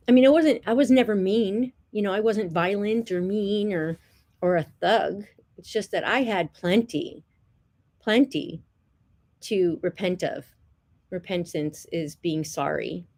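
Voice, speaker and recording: A middle-aged woman from New Jersey, speaking to the camera in an earnest, rambling way. She gives a casual testimony, repeating words and filling pauses with "um." Her voice sits on the low side. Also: a little mellow. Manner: earnest, chatty, repeats herself with many "um"s. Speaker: vlogger.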